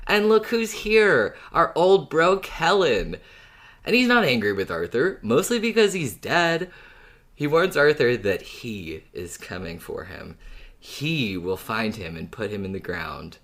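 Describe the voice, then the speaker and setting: A man's voice: medium pitch, very animated, a little slow, a bit bright. A man hosting a fan podcast, chatting playfully in jokey, mock-dramatic bro slang. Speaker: podcast host.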